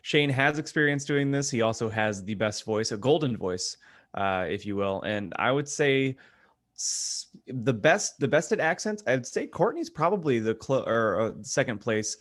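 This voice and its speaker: Lively, teasing male podcast co-host. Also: mid-range voice, normal speed. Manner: teasing, sarcastic. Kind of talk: casual comedic banter. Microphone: remote video call.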